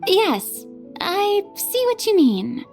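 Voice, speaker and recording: A woman playing a theatrical, exuberant young film director in an anime video game, with an expressive delivery. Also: very high voice, slow, gravelly, exaggerated voice. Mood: friendly. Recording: faint music behind.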